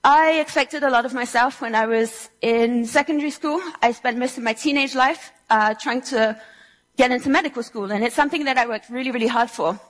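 A woman's voice: a little high, fairly quick, a bit bright, big-room sound, poor audio. A young woman from Switzerland giving a prepared talk to an audience in a room, telling personal stories with earnest delivery and a touch of dry humor. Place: lecture room before an audience.

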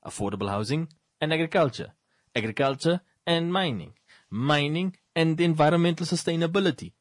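A man's voice: fairly high pitch, no room sound, studio quality. A man co-hosting a casual talk show, laying out his argument point by point in a rising list before easing off. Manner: lists points in building sequences, then drops to a quiet wrap-up. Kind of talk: casual panel discussion.

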